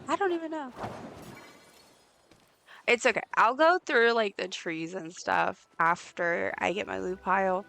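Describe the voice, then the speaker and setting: A woman with a raspy voice chatting casually while streaming a game, rambling with lots of ums and likes. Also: lowish voice. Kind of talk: casual live gameplay chat. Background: soft game music.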